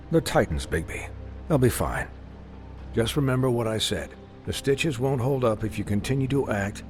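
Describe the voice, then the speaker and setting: A man voicing a matter-of-fact physician in a video game, measured, dry and clinical. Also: fairly low pitch, a little mellow, lightly acted. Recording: faint score under the voice.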